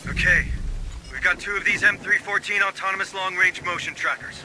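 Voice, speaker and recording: A forceful, sharp marine sergeant. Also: male voice, sits high, steady tone, slight background noise. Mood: commanding. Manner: commanding, brisk, cocky-humored orders. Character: gruff, confident marine sergeant commanding his squad in battle. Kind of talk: military video game voice acting.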